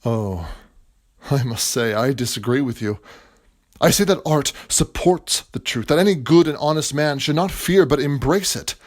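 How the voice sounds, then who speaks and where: A man playing a principled, pious playwright in an audio drama, calm and polite in measured period diction. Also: mid-range voice, close to an everyday voice. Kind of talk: stage-play acting in an audio drama.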